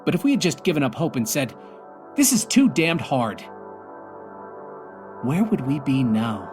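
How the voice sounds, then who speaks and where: A man playing a weary, grieving astronaut commander stranded alone, speaking an earnest, halting audio drama monologue. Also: mid-range voice, very animated, music in the background. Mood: determined.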